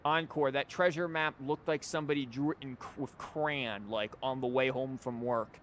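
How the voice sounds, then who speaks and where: Wry man streaming over rumbly noise. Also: steady tone, normal speed, fairly bright tone. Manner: wry, rambling, mock-quoting. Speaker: game livestreamer. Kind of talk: casual live game commentary.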